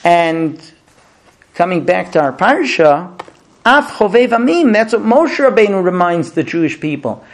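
Man, rabbi, sermon; high, animated voice, rhetorical and storytelling. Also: unhurried. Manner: storytelling, rhetorical questions, repeats words. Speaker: rabbi teacher.

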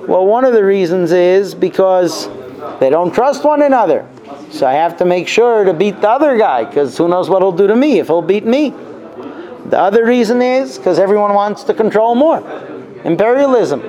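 A man giving a religious class as its teacher, explaining deliberately and telling stories as he goes. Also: high voice, animated, mellow, muffled recording. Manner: deliberate, explanatory, repeats and translates Hebrew phrases. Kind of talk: Torah class lecture with storytelling.

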